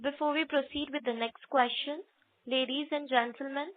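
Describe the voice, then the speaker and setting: A woman's voice: high voice, dry recording. A woman making formal, polite scripted announcements as a conference call operator. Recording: narrow phone-like line.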